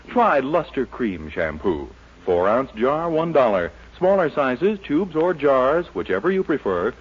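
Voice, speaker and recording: Lively male announcer on muffled old radio. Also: mid-range voice, husky. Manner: polished, emphatic sales delivery. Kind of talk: 1940s radio commercial announcing. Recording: muffled old radio broadcast, faint music.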